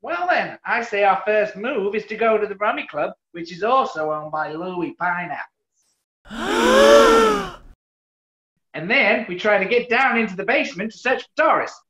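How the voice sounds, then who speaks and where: An actress playing a brassy New York character with an American accent, brash and animated, in 1940s radio comedy style. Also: female voice, medium pitch, measured pace, long pauses, noisy background. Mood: urgent. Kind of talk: 1940s radio play acting. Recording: roomy, muffled online recording.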